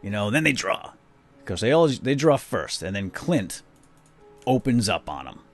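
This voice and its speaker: Deep-voiced man telling a story over gameplay. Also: unhurried, faint music behind. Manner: rambling, many fillers, lively retelling. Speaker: let's play host. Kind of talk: casual gameplay commentary and storytelling.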